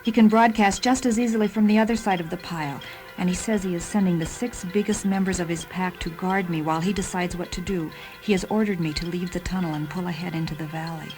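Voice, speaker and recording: A woman voicing a loyal, dutiful talking combat car in a science fiction story, calm and matter-of-fact, with music behind her. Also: fairly low pitch, lightly acted, poor audio. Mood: calm, reporting. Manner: measured, matter-of-fact reporting.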